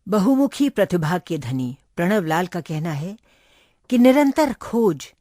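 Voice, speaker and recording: A woman's voice: on the low side, big pitch swings, unhurried, warm tone, no echo, studio quality. A woman reading scripted narration as a radio presenter.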